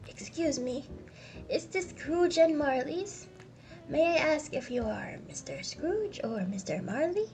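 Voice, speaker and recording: Woman playing a courteous, formal charity gentleman. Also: high-pitched, lively intonation, unhurried, soft background music. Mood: polite. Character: polite fat gentleman collecting for the poor.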